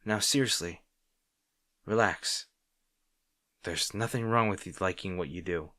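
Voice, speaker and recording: A man's voice: low-pitched, a little slow, sharp tone, dry recording, studio quality. A man playing an arrogant but secretly caring supervillain rival, bantering with the listener in an audio roleplay. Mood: teasing, grudging fondness. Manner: teasing, bantering, grudgingly sincere.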